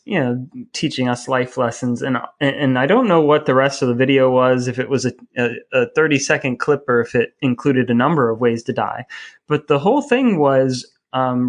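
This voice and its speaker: Male co-host, thirties to forties, mid-range voice, wry and rambling, casual conversational storytelling. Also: mid-range voice. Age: 30s or 40s. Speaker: podcast co-host. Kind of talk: casual bantering chat.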